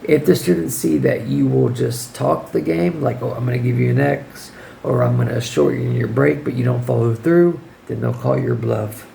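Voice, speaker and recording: Hoarse, gravelly-voiced man giving teaching advice. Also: mid-range voice, moderate pace, mellow, slight background noise. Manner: informal, firm, checks in with "okay?". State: hoarse, losing his voice. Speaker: English teacher. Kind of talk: casual advice vlog.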